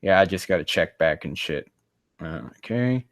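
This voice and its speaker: A man's voice: low voice, lively intonation, moderate pace, no echo, clean studio sound. A man on a casual livestream reading fan fiction aloud, breaking off into rambling, profane, exasperated commentary.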